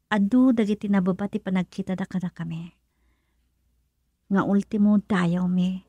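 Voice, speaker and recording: Actress as a husky, lovestruck young nightclub woman. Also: medium pitch, dark tone, dry recording, natural voice. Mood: ashamed, sad. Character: lovestruck young nightclub worker, wistful and self-doubting. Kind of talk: radio drama monologue.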